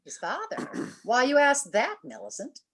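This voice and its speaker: A woman playing a long-suffering, teasing wife with dry wit and a gently nagging manner in an old-time radio comedy. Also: sits high, very animated, normal speed, rough recording. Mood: sociable, teasing. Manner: polite, gently nagging, wry.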